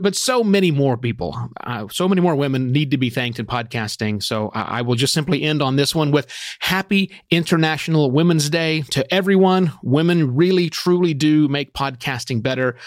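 A middle-aged man hosting a podcast in a casual, slightly halting, appreciative monologue. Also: a little high, no room sound, clean studio sound. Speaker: podcast host. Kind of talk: casual monologue to a live audience.

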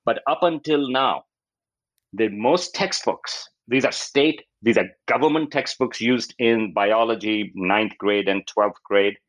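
A man's voice: on the low side, unhurried, a bit bright, rough recording. A man in his fifties with a Pakistani accent, an academic explaining research in an interview and often acting out quotes as he goes. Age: 50s.

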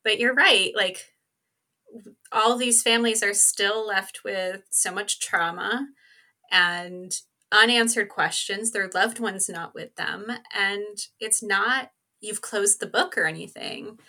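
A woman's voice: mid-range voice, slow, pushed and bright. A woman speaking as a podcast guest, thoughtful and informal, often dropping in "like" and "right" as she talks. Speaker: podcast guest and co-host of another true crime show. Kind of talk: casual conversational interview talk.

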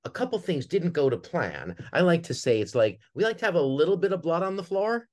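A man's voice: fairly high pitch, very animated, fairly quick, darkish tone. A man speaking as an interview guest, explaining his work casually and conversationally. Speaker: guest, theater artistic director.

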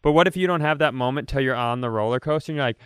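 A man's voice: mid-range voice, racing, clear, resonant tone, no room sound. Clean-voiced man riffing casually, very dry sound. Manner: animated storyteller, acts out imagined quotes, swears casually. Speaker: comedy podcast host. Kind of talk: casual comedic chat, improvised hypothetical riffs.

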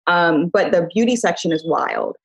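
Chatty woman with a husky voice. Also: fairly low pitch, expressive, normal speed, no room sound. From Chicago, US Midwest. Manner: chatty storyteller, laughing often, filler-heavy. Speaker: beauty brand founder, guest. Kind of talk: casual interview chat.

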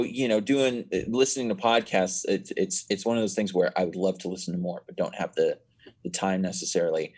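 A man's voice: low-pitched, a little mellow, slightly rough. A nineteen-year-old man chatting as a podcast guest, telling stories in a rambling way with many restarts. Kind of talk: casual chat.

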